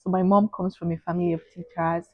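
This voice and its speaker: A woman's voice: fairly low pitch, little pitch movement, fast talker, no room sound, muffled recording. A woman in her twenties from Eastern Nigeria, chatting as an interview guest and laughing often. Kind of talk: casual interview chat.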